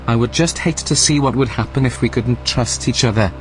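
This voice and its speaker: A man's voice: mid-range voice, faint music behind, close to an everyday voice. Man voicing a coolly menacing, polite station AI. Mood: veiled threat. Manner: formal, measured, falsely polite. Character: unflappable, passive-aggressive male station AI with veiled menace. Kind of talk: audio drama acting.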